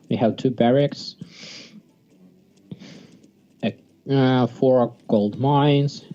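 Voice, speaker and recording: A man from Sweden gives casual, halting live commentary on a strategy game. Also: mid-range voice, dark tone. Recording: dull lo-fi mic, music behind. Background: music.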